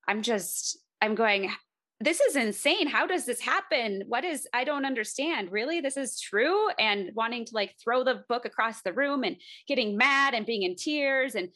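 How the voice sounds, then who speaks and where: A woman's voice: on the high side, pushed and bright. A woman hosting a podcast interview, talking in an effusive, enthusiastic way with her guest. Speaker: podcast host.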